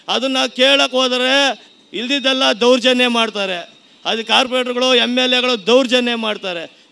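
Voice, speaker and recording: A man's voice: very high-pitched, gravelly, pushed and bright, clear, resonant tone. A man with a Bengaluru Kannada accent, a local resident airing grievances emphatically and colloquially in a street interview. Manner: aggrieved, emphatic, colloquial with English words. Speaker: local resident giving opinion. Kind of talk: street vox pop complaint.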